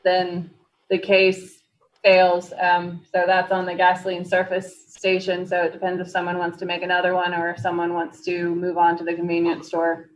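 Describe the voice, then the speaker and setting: A woman's voice: lowish voice, completely flat delivery, moderate pace, muffled recording, a little hiss or hum. Woman running procedure at a public meeting. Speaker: board staff secretary taking roll.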